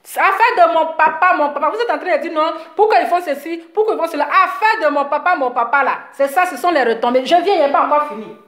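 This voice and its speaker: A woman's voice: high voice, expressive, fast, hardly any pauses, fairly bright tone. Heated woman ranting with an echo. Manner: heated, repetitive, scolding. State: slight cold. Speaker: social media commentator. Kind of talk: live video rant to followers.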